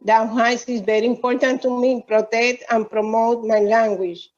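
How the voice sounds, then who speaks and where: A woman's voice: fairly high pitch, fairly even intonation, normal speed, darkish tone. A middle-aged woman from Puerto Rico, a Spanish speaker with a Puerto Rican accent, reading a prepared statement aloud on a video call. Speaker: ESL student. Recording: dull lo-fi video call audio.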